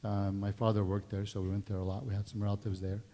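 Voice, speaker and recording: A middle-aged man from Palestine with a Palestinian Arabic accent, sharing his personal story in a relaxed, conversational way with many fillers and restarts during a church service. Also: lowish voice, fast. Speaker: guest speaker. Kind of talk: personal testimony at a worship service. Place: church sanctuary. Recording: long church reverb.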